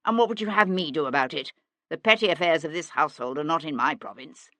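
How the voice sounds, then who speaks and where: A woman voicing an imperious older father in an audiobook, gruff, pompous and loud. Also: fairly low pitch, wildly swooping pitch, moderate pace, dry recording, exaggerated voice. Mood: irritated outrage.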